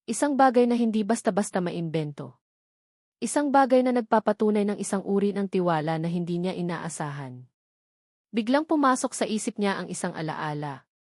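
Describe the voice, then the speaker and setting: A woman's voice: medium pitch, darkish tone, dry recording, studio quality. A woman reading a scripted dramatic story as its narrator.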